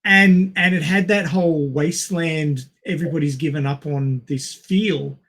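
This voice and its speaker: A middle-aged man co-hosting a podcast, casually discussing a film in an emphatic, opinionated way. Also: on the high side. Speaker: podcast co-host.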